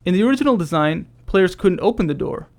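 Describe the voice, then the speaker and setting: A man's voice: high voice, sing-song, quick pace, a little mellow. A man who works as a game developer, reading scripted commentary in an explanatory style.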